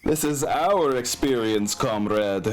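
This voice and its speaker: A man's voice: mid-range voice, slow, low-quality recording. A man speaking casually as game master of a tabletop game, drawing out phrases for emphasis. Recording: music behind the voice. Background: background music.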